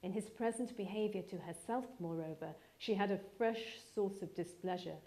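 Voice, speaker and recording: A woman reading a novel aloud as an actress would, in expressive literary narration with deliberate, dramatic phrasing. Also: medium pitch.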